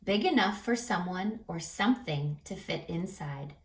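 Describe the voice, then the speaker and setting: A woman reading aloud to children as a reading teacher, expressive and teacherly, explaining and asking questions. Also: lowish voice, measured pace. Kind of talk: children's read-aloud with questions to young listeners. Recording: echoey room, distant mic, music.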